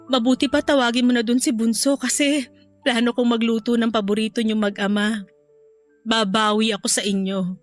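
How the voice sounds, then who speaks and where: A woman's voice: fairly high pitch, little pitch movement, moderate pace, soft background music, lightly acted. A woman playing a tender, remorseful wife and mother in grief, speaking earnestly and emotionally in a radio-style drama. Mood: loving, grateful.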